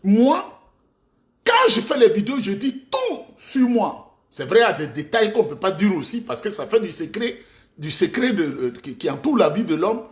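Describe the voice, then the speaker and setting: A middle-aged Ivorian man with an Ivorian French accent and a very high voice delivers a mocking political monologue. His pitch swings widely as he leans on rhetorical questions and bursts of emphasis. The sound carries an echoey room around it. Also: wildly swooping pitch, moderate pace. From Côte d'Ivoire. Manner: mocking, rhetorical, with sudden outbursts and laughs. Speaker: political commentator.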